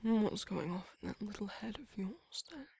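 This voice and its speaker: A woman voicing a flirty, gently protective vampire lover in an intimate ASMR romance roleplay, with a husky, breathy, often whispered voice and tender, coaxing manner. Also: medium pitch, animated, no room sound, exaggerated voice. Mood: concerned, coaxing. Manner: tender, unhurried, coaxing endearments.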